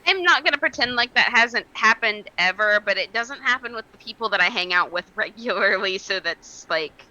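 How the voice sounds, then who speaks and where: Woman, very bright sharp voice, mid pitch, chatty, says "like" a lot, podcast co-host, video call. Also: expressive, moderate pace, lo-fi sound. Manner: chatty, heavy use of "like". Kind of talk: casual chat.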